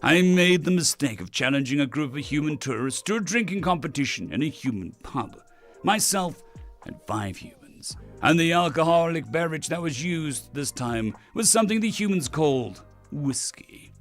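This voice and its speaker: A man's voice: a little high, lively intonation, moderate pace, a bit bright, soft background music, voice pushed up. A man voicing a proud alien drinking champion, narrating a story in a theatrical, boastful, melodramatic way. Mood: rueful, dramatic. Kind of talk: dramatic first-person story narration.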